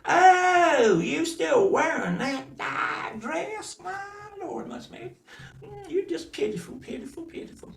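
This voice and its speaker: Man voicing mean girl cousins, mocking, high falsetto, lively, echoey room. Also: slow pace, creaky, heavily acted. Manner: mocking, shrill, laughing. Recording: roomy echo.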